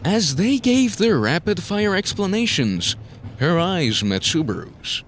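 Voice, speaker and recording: A man's voice: high-pitched, sing-song, unhurried, fairly bright tone, slight background noise. A man narrating a scripted story in a dramatic style, as the narrator of an audio drama.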